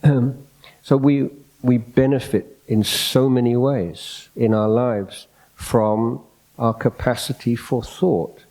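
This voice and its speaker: A soft-spoken man, a Buddhist monk, giving an unscripted dhamma talk in a hall, reflective and hesitant, restarting his phrases often. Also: mid-range voice, steady tone, slow pace. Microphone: microphone in a hall.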